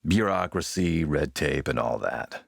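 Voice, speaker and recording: Shrewd militia boss, very low gravelly voice, menacing, wry, self-assured, video game dialogue, close dry sound. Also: male voice, expressive, a little slow, dry recording, full character voice. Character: shrewd, menacing male militia boss, smooth-talking dealmaker.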